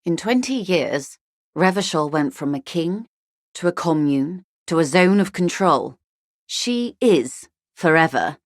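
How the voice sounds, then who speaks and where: A woman playing a poised, wealthy middle-aged corporate negotiator in a video game, speaking in a measured, eloquent, formal and dryly ironic way. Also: fairly low pitch, very animated, no echo, studio quality. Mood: wistful, reflective. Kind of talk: video game character dialogue.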